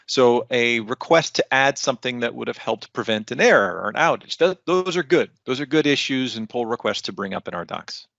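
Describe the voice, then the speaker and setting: A man's voice: medium pitch, animated, a little fast, creaky, few pauses, fairly bright tone, no room sound, dull audio. A man giving an informal, conversational instructional walkthrough as a conference presenter. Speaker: conference presenter, documentation team member.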